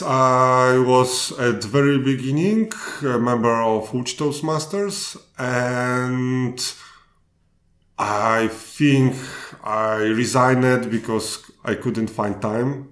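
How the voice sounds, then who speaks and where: Slow-spoken Polish-accented man. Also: mid-range voice, reverberant. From Poland. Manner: slow, halting answers with restarts. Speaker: guest speaker. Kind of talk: podcast interview.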